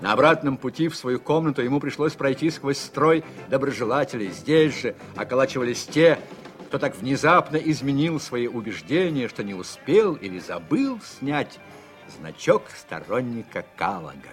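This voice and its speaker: A man's voice: medium pitch, sing-song, moderate pace, lightly acted. Actor doing male storyteller for a radio play, really varied intonation, literary, bit of music. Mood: narrating. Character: male narrator of a political drama. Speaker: narrator. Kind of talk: dramatized radio play narration.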